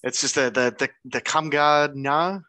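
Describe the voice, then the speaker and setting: A middle-aged man who is a guest on a casual online show, talking in a halting, loose, joking way. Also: on the high side, steady tone, pushed and bright, no echo. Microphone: headset, video call.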